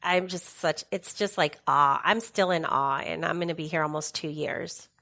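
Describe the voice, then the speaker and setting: Low, gravelly-voiced woman guest. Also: moderate pace. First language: Spanish. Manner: enthusiastic, warm-hearted, laughs often. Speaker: interview guest, safety leader. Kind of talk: casual interview chat.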